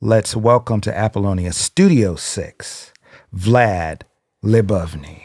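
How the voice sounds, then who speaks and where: Male co-host, slow and low, dry close sound. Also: expressive, a little mellow, no room sound. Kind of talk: announcing the guest.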